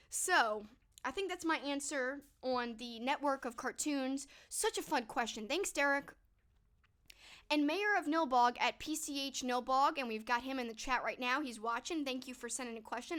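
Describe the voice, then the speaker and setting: A woman's voice: high-pitched, normal speed, fairly bright tone. A young woman streamer chatting casually with her viewers, upbeat and full of "like" and "um", her voice worn and fading. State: losing her voice. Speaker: streamer answering viewer questions. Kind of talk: casual chat to a live stream.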